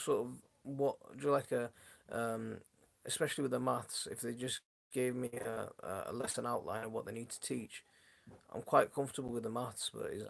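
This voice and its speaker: A man hosting a gardening channel, chatting casually on a live stream from his home, his voice going hoarse, with a rambling, friendly way of talking. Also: medium pitch. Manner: rambling, many restarts, chatty and friendly toward viewers. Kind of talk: casual live-stream chat answering viewers. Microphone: phone.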